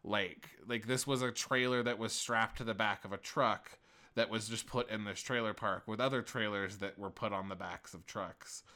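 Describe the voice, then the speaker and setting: A man's voice: lowish voice, normal speed. A man in his late twenties in casual, rambling chat, often breaking off and restarting. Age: 20s. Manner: rambling, animated, self-interrupting.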